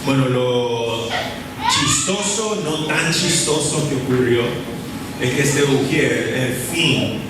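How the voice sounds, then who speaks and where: A man delivers a sermon at a slow, measured pace, circling back to repeat his key phrases. His voice comes from a distance in a large, echoing church hall. Steady room noise sits underneath. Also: medium pitch. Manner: deliberate, repeats key phrases, short pauses with fillers. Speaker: preacher. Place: church sanctuary. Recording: echoey church hall from far off, with room ambience.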